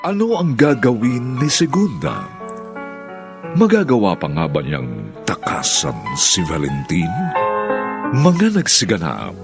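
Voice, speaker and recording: A man announcing a Filipino radio drama with theatrical, scripted narration and drawn-out phrasing. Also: fairly low pitch, wildly swooping pitch, gravelly, music in the background. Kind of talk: dramatic scripted narration, reading cast credits.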